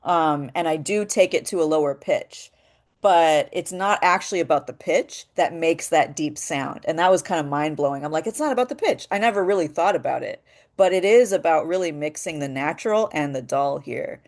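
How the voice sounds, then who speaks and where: A woman with a naturally deep voice, leading a voice workshop in a thoughtful, searching way, with many pauses and restarts. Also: darkish tone. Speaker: voice workshop leader. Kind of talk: reflective workshop talk.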